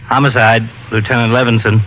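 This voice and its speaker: A man portrays an earnest, weary police lieutenant in a vintage radio mystery. He has a middle-range voice with a firm, sharp edge. The aged recording carries faint noise. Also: medium pitch, little pitch movement, fairly quick, lo-fi sound, natural voice. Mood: businesslike. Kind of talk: 1940s-50s radio drama acting. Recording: hissy old radio recording.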